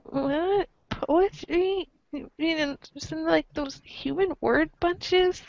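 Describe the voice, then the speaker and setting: A woman voicing an awkward, easily flustered alien troll teen in an amateur comic script reading, halting and stammering. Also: very high voice, vocal fry, highs cut off, exaggerated voice. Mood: unsure. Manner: halting, stammering, full of ums.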